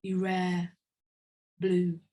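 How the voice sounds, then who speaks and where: A middle-aged woman from England performs her own spoken-word poem in a slow, intimate, reflective way. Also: lowish voice, completely flat delivery, warm tone. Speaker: poet. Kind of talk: spoken word poetry reading.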